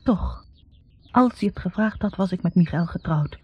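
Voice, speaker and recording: Young intellectual woman, very breathy husky voice, bitter and conflicted, halting confessions, 1960s radio play, muffled recording. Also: fairly low pitch, animated, no room sound, faint music behind. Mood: conflicted, regretful. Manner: halting, confessional, repeating words. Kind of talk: 1960s radio drama acting.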